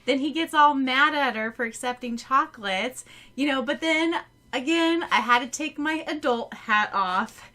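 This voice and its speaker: A woman co-hosting a casual podcast chat, laughing often and gasping with excitement. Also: high voice, unhurried. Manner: laughs often, gasps and exclaims. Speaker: podcast co-host.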